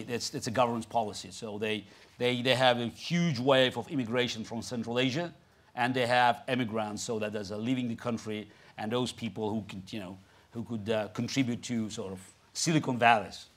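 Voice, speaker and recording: A Russian-accented man in his fifties speaks as the guest in an onstage conversation. His talk halts and restarts, full of "you know", at a middling pitch. He sits on a big theatre stage before an audience. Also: fairly even intonation. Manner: halting, with many restarts and "you know" fillers. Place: large theatre stage, indoors.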